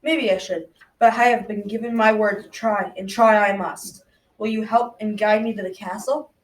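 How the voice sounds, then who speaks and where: A woman's voice: medium pitch, steady tone, moderate pace, roomy sound, far from the mic, close to an everyday voice, low-quality recording. A girl of about twelve or thirteen playing a brave but fearful young prince in a school radio drama, earnestly declaiming the lines. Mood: confused, resolute. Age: child, around 12-13.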